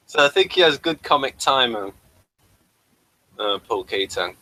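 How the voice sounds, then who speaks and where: A man hosting a casual review chat, talking in a halting, rambling way. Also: medium pitch, lively intonation, sharp tone, poor audio. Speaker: host of a TV review talk.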